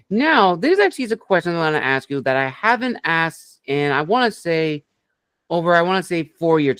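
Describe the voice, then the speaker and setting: A man's voice: mid-range voice, fairly bright tone, clear, resonant tone, no echo. A man hosting an interview show, asking long questions and praising his guest with enthusiasm. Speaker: podcast interview host.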